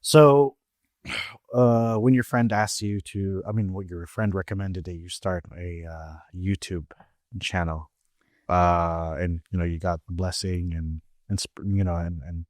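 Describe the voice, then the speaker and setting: A woman's voice: very deep voice, fairly even intonation, unhurried, darkish tone, no echo, studio quality. A woman hosting an interview podcast, asking long, rambling questions and correcting herself mid-sentence. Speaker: podcast host. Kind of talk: interview conversation.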